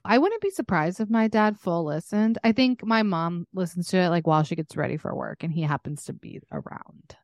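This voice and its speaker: A woman's voice: on the low side, expressive, a little fast, few pauses, a little mellow, no room sound. A young woman co-hosting a podcast in casual comedic chat, with a candid, wry, joking manner. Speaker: podcast co-host.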